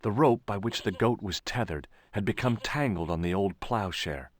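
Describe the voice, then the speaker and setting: An actor playing an adult male adventurer, narrating his own actions in a measured, dry way. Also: lowish voice, natural voice. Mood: dry, matter-of-fact. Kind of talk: game character narration.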